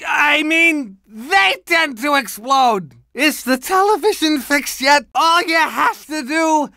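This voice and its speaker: High-pitched doddering old cartoon superhero. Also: male voice, animated, slow, creaky, sharp tone, dry recording, studio quality, exaggerated voice. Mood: urgent, rambling. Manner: doddering, befuddled, exclamatory. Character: doddering, forgetful, cranky old retired superhero. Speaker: video game voice actor. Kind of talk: cartoon video game character acting.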